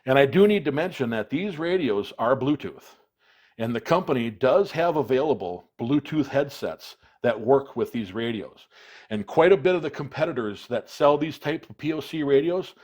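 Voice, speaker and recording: Casting: American male product reviewer, mid-pitched, plain-spoken explainer style with emphasis on key words. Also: lively intonation, normal speed, a little mellow.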